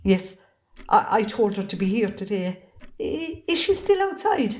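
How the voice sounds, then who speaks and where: A woman's voice: medium pitch, very animated, unhurried, a little mellow. A woman acting a wronged, middle-aged farmer's wife in an amateur play, reproachful and pointed, with an Irish accent. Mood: weary, resentful. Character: wronged, resentful Irish farmer's wife, standing her ground.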